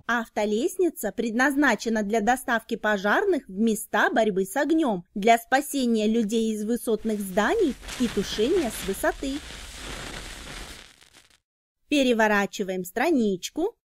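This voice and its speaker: A woman reads scripted educational narration for children, explaining in short, clearly enunciated phrases. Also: fairly high pitch, lively intonation, moderate pace, few pauses, no echo.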